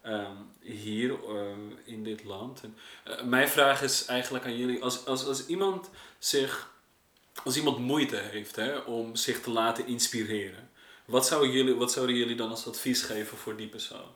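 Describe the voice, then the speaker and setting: A man's voice: medium pitch, normal speed, fairly bright tone. A man hosting a casual podcast interview in conversational speech. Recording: echoey room.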